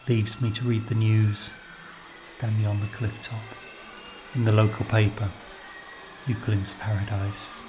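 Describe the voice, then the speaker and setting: A man recites literary writing in a solemn, declamatory way. His voice is on the low side and keeps to a very narrow, level range. He goes very slowly, with loud music behind him. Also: little pitch movement. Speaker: author reading own piece.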